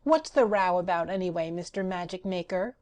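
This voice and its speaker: A woman's voice: fairly low pitch, brisk, darkish tone, natural voice. A woman voicing a giddy, boastful female patchwork rag doll who chants sing-song rhymes. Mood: cheeky.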